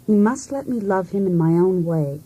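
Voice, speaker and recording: An actress playing a disillusioned wife in a poetic radio drama, speaking in a measured, introspective, theatrical manner. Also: female voice, lowish voice, animated, mellow, rough recording. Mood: yearning, resolute. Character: disillusioned, cool, self-searching wife in a failed marriage. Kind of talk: poetic monologue in a radio play. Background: music.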